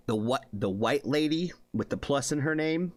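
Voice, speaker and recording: Lively-voiced man chatting on stream. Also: on the high side, no room sound. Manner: loose, chatty, swears freely, keeps asking "you know what I mean"; laid-back, rambling with many fillers. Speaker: music reaction streamer. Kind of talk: casual livestream chat.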